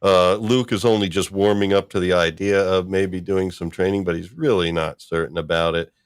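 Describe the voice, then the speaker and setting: A man's voice: deep voice, fairly even intonation, moderate pace, clear, resonant tone, dry recording. A man hosting a casual live-stream discussion, chatting in a rambling, fan-like way. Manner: chuckling, rambling fan talk. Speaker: host.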